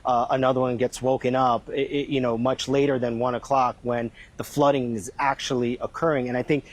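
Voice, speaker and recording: A man's voice: medium pitch, little pitch movement, brisk. A man reporting live as a news correspondent, speaking off the cuff and repeating phrases for emphasis.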